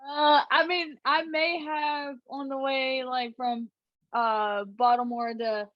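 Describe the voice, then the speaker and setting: High, bright woman on lo-fi audio. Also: fairly even intonation, slow, dry recording. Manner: candid, laughing, casual slang. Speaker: guest, host's sister. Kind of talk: casual chat. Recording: dull lo-fi audio.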